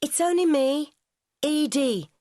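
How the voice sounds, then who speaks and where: Actress plays working-class lass in a period novel: young, friendly, plain-spoken, raised pitch. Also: female voice, animated, slow, dark tone, no echo, studio quality. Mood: cheery.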